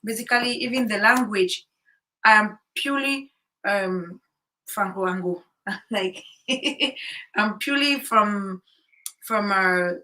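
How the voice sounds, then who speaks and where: A woman's voice: mid-range voice, slow pace, pauses often, bright, forceful tone, rough recording. A woman talking casually about life as a new mother, chatty with many fillers and repeated words. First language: English. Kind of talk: casual chat. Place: home in Korea.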